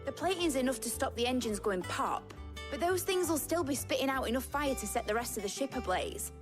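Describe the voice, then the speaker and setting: A young British engineer in a fantasy game, brash and keen. Her voice sits fairly high and swings in lively leaps. She talks briskly and colloquially, with loud music playing behind her. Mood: businesslike, stumped. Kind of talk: video game voice acting.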